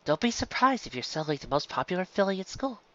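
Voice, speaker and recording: Kindly storybook schoolteacher pony, read by a woman, quiet, gentle and measured, lively pitch, slightly muffled sound. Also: dry recording. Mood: reassuring. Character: kindly female pony schoolteacher, patient and concerned.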